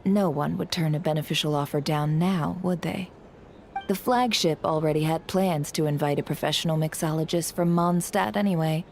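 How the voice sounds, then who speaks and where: A woman's voice: deep voice, gravelly, a little mellow, slight background noise. A woman playing a poised, shrewd and influential lady in a game, speaking with formal composure and persuasion. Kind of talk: scripted game voice acting. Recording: music behind the voice.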